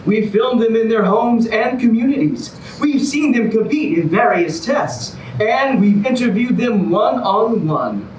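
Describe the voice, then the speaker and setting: Man playing a high, animated, cheery game show host. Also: measured pace, hardly any pauses. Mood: showy cheer. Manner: showy, upbeat announcer delivery. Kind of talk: staged musical comedy reading. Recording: echoey room from far off, faint noise.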